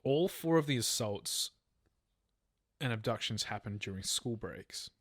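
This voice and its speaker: A man's voice: lowish voice, lively intonation, a little slow, a bit bright, no echo, clean studio sound. A man co-hosting a true crime podcast, telling a case from notes in casual language, stumbling and correcting himself. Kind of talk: semi-scripted case narration with casual chat.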